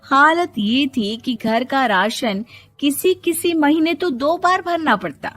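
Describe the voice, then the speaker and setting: A woman narrator reading a scripted moral story in a husky voice. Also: high-pitched, lively intonation, takes it slowly, fairly bright tone.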